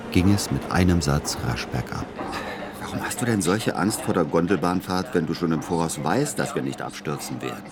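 Weary middle-aged son, deep voice, dry, stubborn, literary radio drama, noisy rumbling background. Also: male voice. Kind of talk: literary first-person narration and radio play dialogue.

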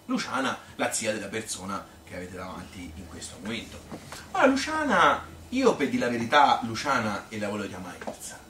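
A man playing a chatty old Tuscan grandmother who tells her life story in a colloquial, rambling, halting stage monologue. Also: fairly low pitch, wildly swooping pitch, bright, forceful tone, exaggerated voice. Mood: halting, reminiscing. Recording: roomy, noisy, distant stage recording.

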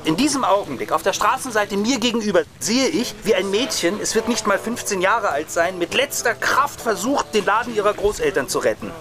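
A man's voice: sits high, animated, a little fast, few pauses, over music. A man acting in a German radio drama as a cynical, hot-tempered radio reporter in his thirties, pompous and given to coarse cursing. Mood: melodramatic reporting. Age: 30s.

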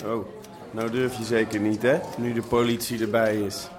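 A man's voice: lowish voice, level tone, normal speed, crowd noise, close to an everyday voice. A man playing a timid, shaken young squatter with slangy talk in a radio drama. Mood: aggrieved.

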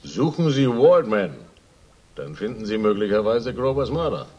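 An actor playing a bulky, evasive middle-aged racehorse owner and former miner in a radio crime drama, hesitant and self-justifying. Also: male voice, on the low side, animated, a little mellow. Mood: hesitant, chatty. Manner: hesitant, halting, self-justifying, with stumbling fillers.

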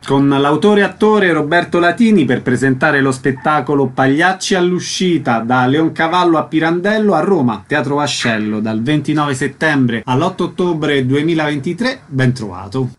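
A man's voice: fairly high pitch, expressive, normal speed, hardly any pauses. A man hosting a radio interview, asking brief, direct questions.

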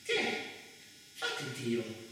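A man's voice: drawn-out words, pushed and bright. A man on stage playing a restless, idealistic student dreaming of revolt, hesitant and repeating himself with passion. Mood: dreamy. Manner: hesitant, repetitive, impassioned. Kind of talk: one-man theatre monologue acting. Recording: echoey hall from far off, faint noise.

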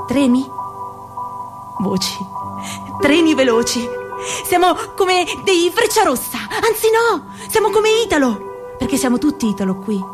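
Woman doing a restless young dreamer, kinda high voice, chanty poetic lines, music under it. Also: big pitch swings, measured pace, long pauses. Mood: urgent. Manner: rhythmic, incantatory wordplay. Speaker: narrator and lead actor. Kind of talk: poetic theatrical monologue. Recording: music playing behind.

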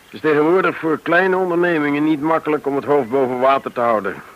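An actor in a radio play as a reserved, dry former officer who speaks tersely. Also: male voice, fairly high pitch, quick pace, highs cut off, natural voice. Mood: matter-of-fact. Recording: radio drama with faint background noise.

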